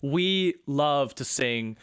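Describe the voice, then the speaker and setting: A man's voice: medium pitch, lively intonation, fairly bright tone, clean tone, no room sound. Easygoing man interviewing, dry sound. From Michigan, USA. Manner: easygoing, quick agreeing backchannels. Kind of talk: casual podcast interview.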